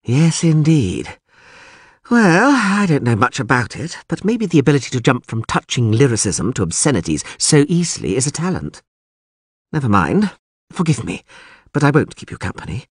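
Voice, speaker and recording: A man voicing a peevish, sharp-tongued older priestess in a storybook, sarcastic and scornful. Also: mid-range voice, big pitch swings, normal speed, husky, dry recording, clean studio sound, voice pushed up. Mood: peeved.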